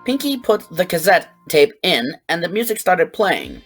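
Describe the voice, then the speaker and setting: Animated storybook narrator. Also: medium pitch, moderate pace, husky, faint music behind.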